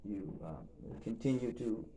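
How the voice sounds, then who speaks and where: A man leading a recovery group session in a calm, measured way, with encouraging murmurs and thoughtful pauses. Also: lowish voice. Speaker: facilitator and reverend.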